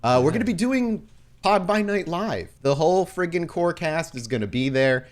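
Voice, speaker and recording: A man's voice: on the high side, lively intonation, normal speed. Casual, enthusiastic male podcast host. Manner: informal, enthusiastic, jokey. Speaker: podcast host and game storyteller. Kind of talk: casual podcast announcements and banter.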